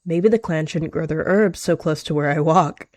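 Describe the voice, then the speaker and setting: A woman's voice: sits low, normal speed, a little mellow, no echo, lightly acted. Woman as a scheming, aloof rogue cat. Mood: snide.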